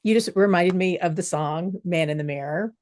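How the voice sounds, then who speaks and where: A woman co-hosting a casual podcast chat, explaining things in a measured, thoughtful way. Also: lowish voice, a little mellow, dry recording. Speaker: podcast co-host.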